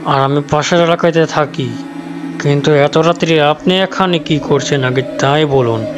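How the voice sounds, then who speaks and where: Man playing an earnest, slow-spoken warning countryman. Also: fairly high pitch, natural voice. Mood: urgent warning. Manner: pleading, cautionary. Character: earnest, warning countryman of a spooky folk tale. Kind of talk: cartoon dialogue acting. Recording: clear music behind.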